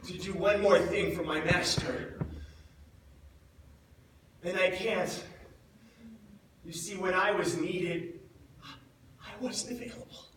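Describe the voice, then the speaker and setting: An actor playing a grief-stricken, guilt-ridden disciple of Jesus in a theatrical monologue, speaking in a halting, emotive way. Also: male voice, sits high, fairly even intonation, noisy background. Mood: bewildered, regretful. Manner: halting, anguished, emotive delivery with repeated words. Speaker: actor in a church dramatic monologue. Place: large echoing church indoors. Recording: echoey church, far from the mic.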